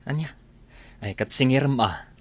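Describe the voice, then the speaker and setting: A man's voice: medium pitch, a little slow, natural voice. A man playing an opinionated village husband in an Ilocano radio comedy drama, talking in an admonishing, conversational way with an Ilocano accent. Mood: concerned.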